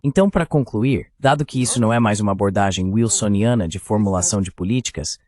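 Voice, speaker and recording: Brazilian guy with a lowish voice, dubbed interview guest talking analysis. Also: fast, a little mellow, no room sound, studio quality. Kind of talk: translated interview voice-over, political analysis.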